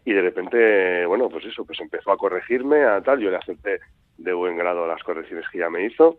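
Animated male storyteller, low voice, dry close sound. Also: brisk, no echo. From Madrid. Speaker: guest author. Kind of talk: radio interview. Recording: tinny phone-like radio sound.

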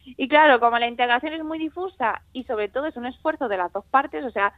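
A young woman from Spain, an author and illustrator, talking in a lively way and laughing often as a radio guest on the phone. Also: high voice, a little fast, no room sound. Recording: tinny phone line.

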